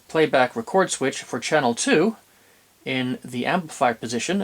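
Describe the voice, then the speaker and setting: A man presenting an unscripted, methodical demonstration of vintage audio gear, explaining step by step and correcting himself as he goes. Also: fairly high pitch.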